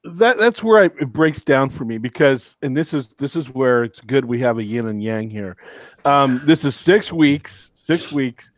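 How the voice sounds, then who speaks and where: A man's voice: mid-range voice, expressive, unhurried, no room sound. Rambling man co-hosting a dry-sounding podcast. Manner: enthusiastic, rambling, self-interrupting. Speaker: co-host. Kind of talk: casual podcast chat.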